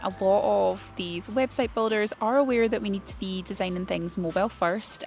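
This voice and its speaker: A woman presenting an informal instructional explainer in a plain-spoken, explanatory way. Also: fairly low pitch. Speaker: presenter of a website tutorial series for writers.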